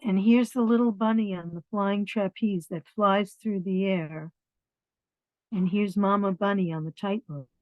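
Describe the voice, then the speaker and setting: A woman's voice: medium pitch, fairly even intonation, measured pace, muffled recording. A woman storyteller reading and talking informally to families, explaining things directly to young listeners. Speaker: read-aloud storyteller. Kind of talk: picture book narration and informal address to families.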